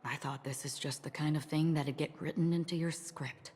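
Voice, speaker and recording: An actress playing a calm, enigmatic swordswoman in a game cutscene, somber and resolute, with measured, restrained delivery. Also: deep voice, lightly acted. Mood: probing, composed. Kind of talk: dramatic game cutscene acting. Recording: long reverb, faint noise.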